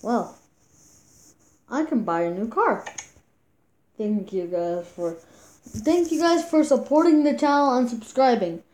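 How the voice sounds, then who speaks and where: Halting, high-voiced young gamer woman. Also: expressive, dark tone, a little hiss or hum. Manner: halting, repeats words, many fillers. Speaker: YouTube gamer. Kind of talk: casual mobile gameplay commentary. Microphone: phone screen recorder mic.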